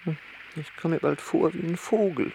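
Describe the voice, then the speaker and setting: Sardonic high-voiced, creaky grumbling man. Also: normal speed, a little mellow, rough recording. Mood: dryly mocking. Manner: dry, mocking, colloquial. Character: sardonic, grumbling man stranded on a flooded rooftop. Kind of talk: radio play acting.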